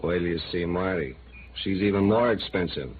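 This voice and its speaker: A man's voice: low-pitched, moderate pace, lightly acted. An actor playing a world-weary, hard-drinking newspaperman in a 1950s radio noir drama, wry, slangy and guarded. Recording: tinny, hissy old radio recording.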